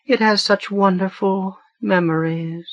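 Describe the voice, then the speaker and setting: A man voicing a genteel, elderly woman murderer in a high, sugary falsetto with slow, lilting delivery. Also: fairly even intonation, dry recording, dull audio. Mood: dreamy, vacant. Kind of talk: audiobook narration.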